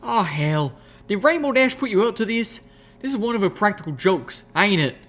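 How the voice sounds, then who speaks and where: A woman voicing a tough, blunt country mare pony who exclaims loudly with a rural drawl. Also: medium pitch, animated, normal speed, voice pushed up, natural voice. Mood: exasperated. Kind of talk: audiobook reading of fan fiction.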